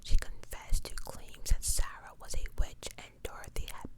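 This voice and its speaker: A woman whispering softly and breathily as an ASMR host, reading aloud with informal, incredulous asides. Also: moderate pace. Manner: informal, incredulous commentary between read passages. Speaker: host of true crime ASMR channel.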